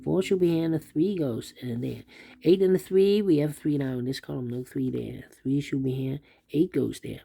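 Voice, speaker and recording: A man with a dark, gravelly voice teaches a puzzle solve at his desk. He thinks aloud in a steady, methodical way, repeating pairs of numbers under his breath as he goes. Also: fairly high pitch, normal speed. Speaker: sudoku instructor.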